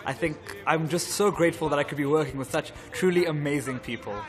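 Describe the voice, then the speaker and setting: A young man, a student actor, speaking earnestly and gratefully in a backstage interview. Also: on the high side. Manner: earnest, grateful, a little halting. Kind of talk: behind-the-scenes interview. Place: dark school stage, indoors.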